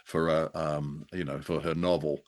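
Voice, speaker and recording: A man co-hosting a show, discussing old radio drama casually in a hesitant, halting way. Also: very deep voice, little pitch movement. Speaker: podcast co-host.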